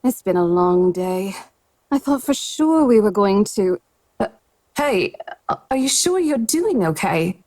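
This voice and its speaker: A woman's voice: mid-range voice, big pitch swings, measured pace, a little mellow. Visual novel role: gracious female hostess, medium register, polite, chatty, professional. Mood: relieved, chatty.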